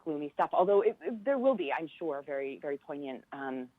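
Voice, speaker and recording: Low-voiced American woman on a phone-like line. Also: expressive, a little fast, no echo. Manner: earnest, reflective, appreciative. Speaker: theater professor, interview guest. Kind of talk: conversational radio interview. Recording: dull, phone-like band-limited line.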